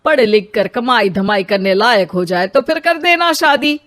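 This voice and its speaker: A woman's voice: a little high, expressive, moderate pace, a bit bright. A woman voicing a reluctant middle-aged village father in a cartoon story, puzzled and protesting. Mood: exasperated.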